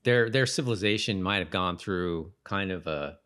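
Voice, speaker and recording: A man's voice: sits low, normal speed, a bit bright, clear, resonant tone. A man co-hosting a podcast, chatting casually and jokingly, often repeating words as he thinks aloud. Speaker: podcast co-host. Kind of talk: casual chat about a TV episode.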